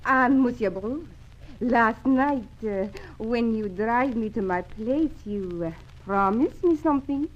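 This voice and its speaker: Coquettish young French showgirl, high lilting voice, unhurried, gushing, old radio drama, muffled recording. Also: animated. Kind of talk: mid-century radio serial acting.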